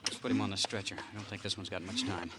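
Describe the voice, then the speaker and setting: An actor playing a brisk, practical policeman sidekick in 1950s radio crime drama, terse and matter-of-fact. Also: sits low, level tone, very noisy, lightly acted. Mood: urgent. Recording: vintage radio recording, music behind.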